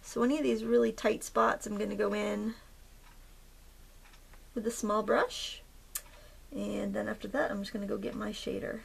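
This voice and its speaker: A woman hosting a craft tutorial, chatting in a relaxed, rambling way as she paints. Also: mid-range voice, gravelly, husky. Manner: relaxed, rambling, with fillers and soft laughs. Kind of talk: casual chatty tutorial narration.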